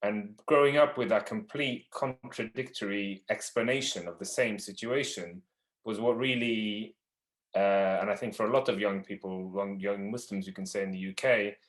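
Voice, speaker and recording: A man's voice: on the low side, steady tone. Earnest Scouse man on a video call. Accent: Liverpool English. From Palestine and the UK. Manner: earnest, measured, with some restarts. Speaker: panel speaker, campaigner. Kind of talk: online panel talk.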